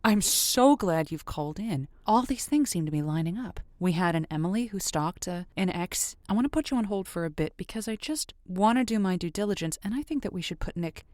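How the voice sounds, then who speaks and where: A woman acting as a wry radio matchmaking host. Her voice sits fairly low and sounds dry and studio-close. She talks conversationally, leaning on words for comic emphasis. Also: expressive, a little fast, hardly any pauses, darkish tone, no room sound, lightly acted. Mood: probing. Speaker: radio call-in show host.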